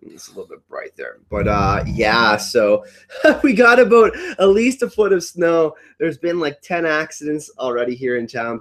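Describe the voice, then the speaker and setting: A congested young man with a cough, hosting a casual livestream from home, upbeat and rambling as he greets his chat. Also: mid-range voice. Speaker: livestream host. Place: at home indoors.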